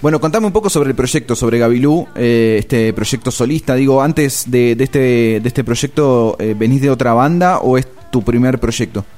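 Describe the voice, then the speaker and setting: A man's voice: mid-range voice, moderate pace, few pauses, a little mellow. Rioplatense man hosting an interview. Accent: Rioplatense Spanish. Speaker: interviewer, host. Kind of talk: casual interview.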